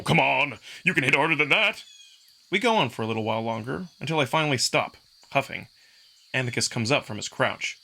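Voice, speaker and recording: A man narrates a visual novel in the first person. His reading is dramatic. The voice has a gravelly grain and a forceful, bright edge. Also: medium pitch. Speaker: narrator and voice performer.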